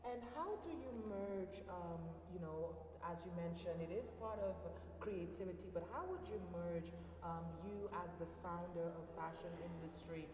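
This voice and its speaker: A woman's voice: fairly low pitch, moderate pace, few pauses. A woman hosting event coverage and putting questions to guests as an interviewer. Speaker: interviewer, programme host. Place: large indoor event venue. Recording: distant, echoing noisy hall.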